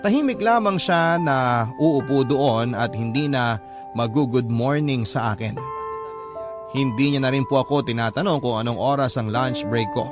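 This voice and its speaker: A man's voice: mid-range voice, a little slow, natural voice. A man playing an earnest young letter sender narrating his love story, with a Filipino accent. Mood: earnest, sympathetic. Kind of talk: earnest first-person scripted radio drama narration. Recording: clear music bed behind the voice.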